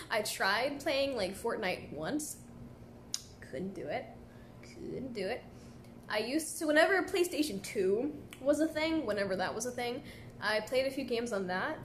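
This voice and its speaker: A woman's voice: on the high side, a little hiss or hum. A young woman casually chatting on a live stream from a café, talking into a phone held at arm's length and answering viewer comments in a laid-back way full of "like". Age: young adult. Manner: chatty, laid-back, heavy use of "like" fillers. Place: indoor café at night.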